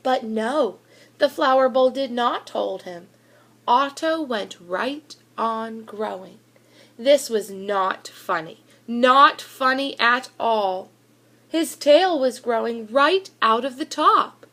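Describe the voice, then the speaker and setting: Slow, high-pitched woman reading a children's story. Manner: animated, deliberate storytelling with emphatic exclamations. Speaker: school reader of children's books. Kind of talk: expressive children's picture book read-aloud.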